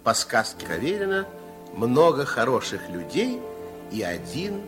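A man's voice: medium pitch, wildly swooping pitch, a little slow, music in the background. A man narrating a children's fairy tale as a storyteller in a radio play. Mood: storytelling.

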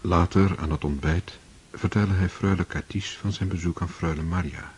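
A man narrating a radio play in a measured, literary manner. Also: low-pitched, fairly even intonation, darkish tone, slightly rough.

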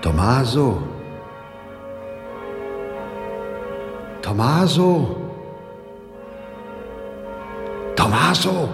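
Radio play role: a solemn, foreboding man, expressive and deliberate, music behind. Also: medium pitch, creaky, exaggerated voice. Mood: calling. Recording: echoing hall with music.

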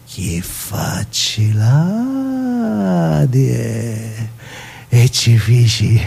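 A man acting a naive, emotional street youth from Palermo in a theatrical radio monologue, with a Sicilian accent and a childlike, halting manner. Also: medium pitch, sing-song, vocal fry, mellow, a little hiss or hum, full character voice. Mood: bitter, brooding. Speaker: stage actor performing a monologue.

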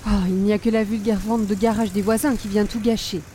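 A woman's voice: mid-range voice, flat delivery, a little fast, dark tone, a little hiss or hum, natural voice. A woman playing an affected, snobbish older hostess with a Quebec French accent and precious, formal diction, in radio comedy style. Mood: fussy, disdainful. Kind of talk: old-time radio comedy acting.

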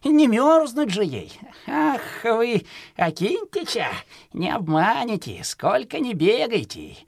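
An actor playing a stooped, folksy old peasant hunter in a children's audio play, grumbling yet kindly and muttering to himself. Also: male voice, very high voice, huge pitch swings, slow pace, gravelly, no echo, clean studio sound, heavily acted.

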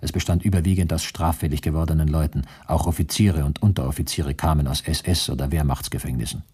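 Dark, husky, sober war witness. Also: male voice, a bass voice, fairly even intonation, quick pace. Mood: grim, sober. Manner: sober, matter-of-fact. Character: grim male ex-soldier giving a sober war testimony. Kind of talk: scripted radio drama narration.

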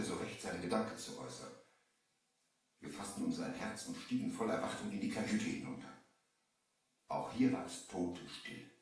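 A man's voice: lowish voice, lightly acted. A male actor playing a shaken merchant who dramatically narrates an eerie sea tale in a 1970s children's adventure radio play. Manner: dramatic, storytelling. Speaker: narrator and lead in an audio drama. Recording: echoey, distant 1970s vinyl record.

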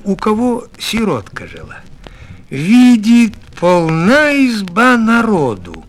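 High-voiced man narrating, hugely expressive. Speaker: storyteller. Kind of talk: expressive fairy tale narration.

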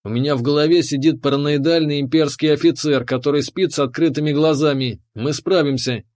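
A man voicing a determined, protective engineer hero in an audiobook, speaking firmly and urgently, often hushed. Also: on the high side, steady tone, a little fast, no echo, studio quality, close to an everyday voice. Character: determined male engineer hero, protective, defiant, afraid for her. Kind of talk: audiobook character voice.

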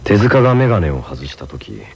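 男性声優が、とぼけたいたずら好きのアニメの青年を演じ、淡々とつぶやくように独白している。. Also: male voice, a bass voice, sing-song, a little fast, exaggerated voice. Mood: dryly mischievous. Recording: dull radio clip, loud background noise.

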